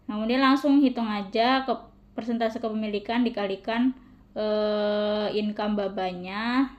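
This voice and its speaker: Young woman, student presenter, medium pitch, informal step-by-step explanation. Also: highs cut off. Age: young adult. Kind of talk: explaining a worked calculation.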